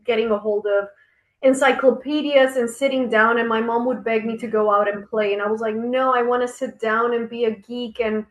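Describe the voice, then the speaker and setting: A woman from Costa Rica joins a casual chat over a video call, with a fairly high voice that rings in an echoey room. She speaks reflectively and often circles back to rephrase what she means. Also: steady tone, normal speed. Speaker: co-founder of a travel company, guest.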